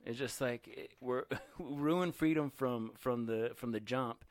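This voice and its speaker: A man's voice: medium pitch, slow, dry recording. A man in casual podcast talk, agreeable and chiming in, then riffing with a laugh in his voice. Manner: agreeable, chimes in with short replies. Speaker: podcast co-host. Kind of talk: casual chat.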